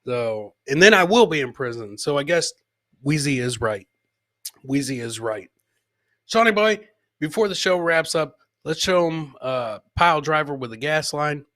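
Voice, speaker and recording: A man's voice: fairly high pitch, lively intonation, normal speed, fairly bright tone, dry recording, clean studio sound. A man hosting a live show, chatting casually and informally as he reacts to clips and often repeating his own lines. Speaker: podcast host and stand-up comedian.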